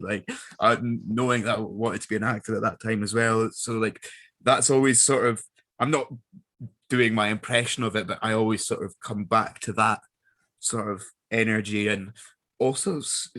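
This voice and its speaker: A man's voice: lowish voice, sharp tone, no echo. A young man, an actor being interviewed, chatting casually and informally, often trailing off mid-thought. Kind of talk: casual chat.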